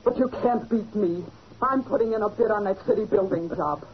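Actress as indignant, defiant wife, dull recording. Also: female voice, mid-range voice, brisk, close to an everyday voice. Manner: firm, accusing. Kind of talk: 1940s radio melodrama acting. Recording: dull, noisy old radio recording.

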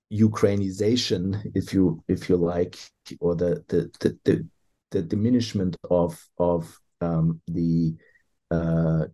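Low slow guy, hesitant academic, lots of ums, dark mellow tone. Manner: hesitant, many ums and restarts. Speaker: author and historian guest. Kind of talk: academic interview answer.

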